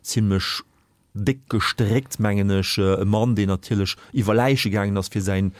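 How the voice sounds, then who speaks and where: Male film critic, Luxembourgish accent, lowish voice, halting unscripted argument, dry studio sound. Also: fairly even intonation, a little mellow, no room sound. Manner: halting, repeats words while reasoning. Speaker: film critic on a radio panel.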